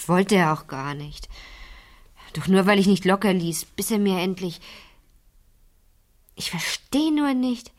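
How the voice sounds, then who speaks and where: A woman's voice: medium pitch, lively intonation, a little slow, long pauses, darkish tone, close to an everyday voice. A woman playing an insistent, inquisitive fifteen-year-old girl in a German radio crime drama, with an intense, pressing delivery. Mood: troubled.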